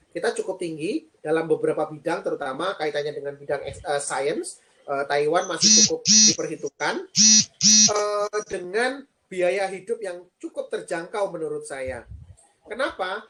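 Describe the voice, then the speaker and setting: A man in his thirties from Indonesia, a guest on a live-streamed interview, explaining things earnestly with frequent pauses. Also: a little high, animated, fairly bright tone, a little hiss or hum, poor audio. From Lampung, Indonesia. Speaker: guest, PhD student and lecturer.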